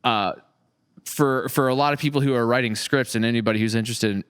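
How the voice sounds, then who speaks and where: A man's voice: medium pitch, fast, a bit bright, clear, resonant tone. A man hosting a podcast in casual conversation, talking in an enthusiastic, rambling and appreciative way. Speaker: podcast host, audio drama creator.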